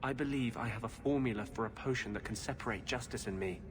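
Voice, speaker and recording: Male actor as earnest driven mage, video game, intent, guarded, medium pitch, background noise. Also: quick pace, natural voice.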